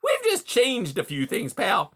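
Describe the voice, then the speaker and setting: A man's voice: very high-pitched, huge pitch swings, unhurried, pushed and bright, voice pushed up, full character voice. A man reading aloud, voicing a smarmy, hearty male beaver who chatters in folksy salesman sayings.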